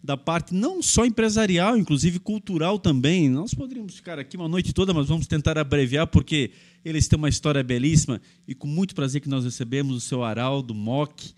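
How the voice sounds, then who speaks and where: A man's voice: fairly high pitch, brisk, hardly any pauses, a little mellow. Formal man, long winding questions. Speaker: podcast host. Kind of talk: interview hosting.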